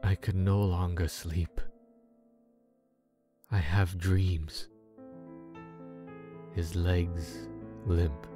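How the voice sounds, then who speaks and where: A man narrates a dark tale in a very low voice. His delivery is slow and brooding, broken by dramatic pauses, with music softly behind him. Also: flat delivery. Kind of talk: scripted dark story narration. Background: background music.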